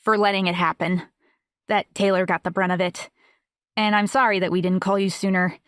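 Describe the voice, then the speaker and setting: A woman's voice: mid-range voice, steady tone, fairly quick, a bit bright, no room sound, natural voice. Woman acting a young female audiobook character, self-assured, talkative, matter-of-fact, conversational, dry close sound. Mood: earnest.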